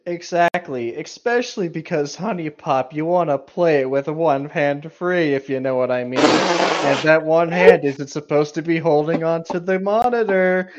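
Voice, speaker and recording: A man's voice: a little high, normal speed, muffled recording, background noise. A man co-hosting casual, joking banter, mocking and swearing freely. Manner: profane, mocking. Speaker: co-host reading and mocking internet horror fiction.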